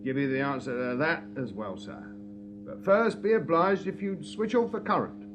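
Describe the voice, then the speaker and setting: A man acts out a Victorian English police detective for a radio play. His voice sits a little high. He is methodical and politely deferential, yet shrewd and insistent. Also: over music, lightly acted. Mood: confident, methodical. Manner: methodical, deliberate, formally polite. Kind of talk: British radio drama acting.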